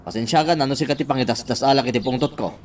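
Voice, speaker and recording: A man's voice: medium pitch, very fast, distant mic, slight background noise, lightly acted. A man playing an apologetic character in a radio love-story drama. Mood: apologetic, casual.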